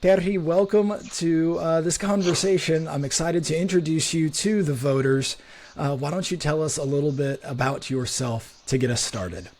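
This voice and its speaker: Higher-voiced man hosting an interview. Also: moderate pace, darkish tone. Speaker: interviewer, host. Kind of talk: measured, formal interview questions.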